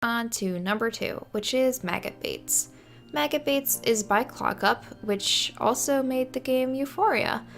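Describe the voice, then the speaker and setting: A woman's voice: fairly high pitch, measured pace, gravelly, a bit bright. A young adult woman giving casual, informal video commentary on games in a chatty, enthusiastic way. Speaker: video creator. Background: background music.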